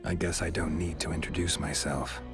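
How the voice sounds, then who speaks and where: A gruff monster hunter from a fantasy video game. His voice is very low and gravelly. He talks in terse, deadpan, suspicious phrases over prominent music. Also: moderate pace. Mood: blunt. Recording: loud music behind the voice.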